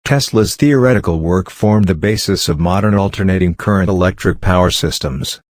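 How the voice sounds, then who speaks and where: A man with a low-pitched voice reads exam practice text aloud. The delivery is measured and scripted, and the recording is dry with no room sound. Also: no echo. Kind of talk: read-aloud practice narration.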